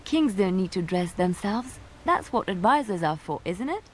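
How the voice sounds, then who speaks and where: A woman's voice: medium pitch, very animated, normal speed, a little mellow, slight background noise, lightly acted. A woman voicing a sly, frank adventuring companion in a video game, dry and assured in manner.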